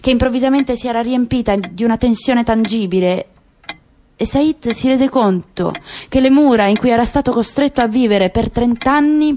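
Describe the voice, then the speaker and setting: A woman narrating a literary work on the radio in a measured, expressive way. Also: on the high side, a little hiss or hum. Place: radio studio.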